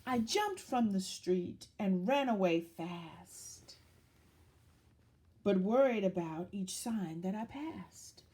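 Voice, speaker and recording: Slow, very animated woman reading a storybook. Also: mid-range voice, slight background noise. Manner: dramatic, deliberate storytelling with questions to young listeners. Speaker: children's story reader. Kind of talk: expressive picture-book read-aloud.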